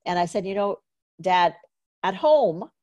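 A woman from Trinidad and Tobago hosts a conversational interview with a low, grounded voice. She talks in a loose, chatty way, drifting into personal stories and leaning on "you know" fillers. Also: animated, measured pace, darkish tone. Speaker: podcast host.

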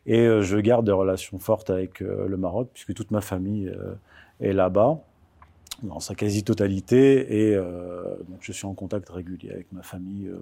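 A man in his thirties from Morocco, whose first language is Amazigh, answering interview questions in a measured, hesitant way with frequent pauses and restarts. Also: low-pitched, fairly even intonation, creaky, mellow. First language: Amazigh (Berber). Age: 30s. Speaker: guest, author.

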